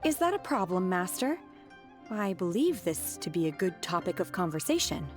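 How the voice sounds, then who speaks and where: A woman playing a calm, earnest young disciple in a video game, speaking politely and matter-of-factly. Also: lowish voice, soft background music. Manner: matter-of-fact, polite, deliberate, blunt. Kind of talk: video game character acting.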